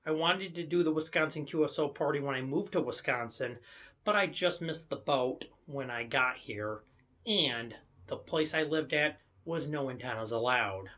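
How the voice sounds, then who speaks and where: American guy doing a casual hobby vlog, showing off his gear, voice a bit on the high side. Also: moderate pace, bright, forceful tone. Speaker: amateur radio hobbyist presenter. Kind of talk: casual vlog walkthrough of own equipment.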